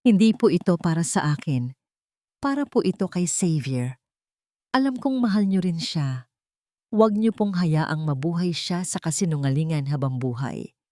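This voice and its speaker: A woman's voice: deep voice, animated, normal speed, dark tone, no room sound, clean studio sound. Heartbroken, weary young woman, as a narrator voices her. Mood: pleading. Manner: pleading, sorrowful.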